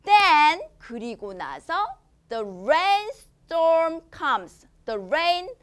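A woman in her thirties teaching young children English from a storybook in a studio. She reads the English lines slowly and with exaggerated care, then explains them cheerfully in Korean. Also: very high-pitched, very animated, a bit bright. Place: studio in front of a green screen.

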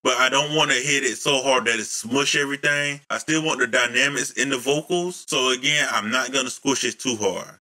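Guy in his 30s walking thru his mix settings, real bright voice, chill and explaining, home studio mic. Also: mid-range voice. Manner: relaxed, explanatory, slows down reading settings. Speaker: music producer teaching vocal mixing. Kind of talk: casual tutorial walkthrough. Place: home room. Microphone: studio condenser mic on boom, headphones on.

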